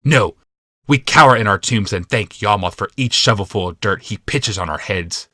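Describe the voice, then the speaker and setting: A man voicing a young, bitter rebel leader haranguing a mob in a shouted, oratorical audiobook performance. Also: on the low side, lively intonation, normal speed, no echo, clean studio sound. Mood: furious, rallying. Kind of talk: audiobook character voice.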